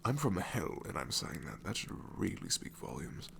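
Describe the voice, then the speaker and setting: A man voicing a sassy male shadow demon in an ASMR roleplay, snarky and rambling with soft whispered asides. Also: low voice, big pitch swings, moderate pace, vocal fry, background noise, heavily acted.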